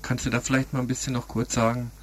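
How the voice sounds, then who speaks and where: A man's voice: mid-range voice, little pitch movement, fast. A man hosting a radio program, reading introductions and putting questions to a guest. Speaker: radio host and interviewer. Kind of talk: radio news intro and interview.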